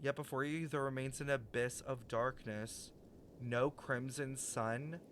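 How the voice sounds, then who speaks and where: A man livestreaming a game, reading its text aloud and thinking through it in an animated, puzzled way. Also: mid-range voice, unhurried, slight background noise. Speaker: game streamer. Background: game music.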